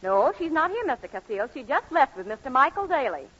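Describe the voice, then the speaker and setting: An actress playing a polite, easily flustered doctor's receptionist in a 1940s radio comedy. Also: female voice, sits high, very animated, fast, fairly bright tone. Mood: flustered, pleading. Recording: muffled old radio, faint noise.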